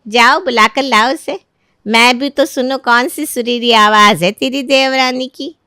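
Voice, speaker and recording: A woman voicing a nosy middle-aged neighbour auntie in a storybook tale, teasing and effusive, with drawn-out words. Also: fairly high pitch, sharp tone, clear, resonant tone, dry recording, clean studio sound, pitched above the performer's own voice.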